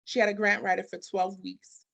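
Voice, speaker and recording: A woman's voice: mid-range voice, flat delivery, normal speed, creaky, fairly bright tone. A woman speaking as a guest expert in a conversational interview, emphatic, firing off rhetorical questions and acting out bits of dialogue. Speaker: interview guest, business consultant and grant expert.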